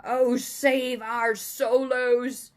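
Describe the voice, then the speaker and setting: A woman voicing a big, excitable male giant from a storybook who cries out in mangled words. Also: on the high side, very slow. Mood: distressed.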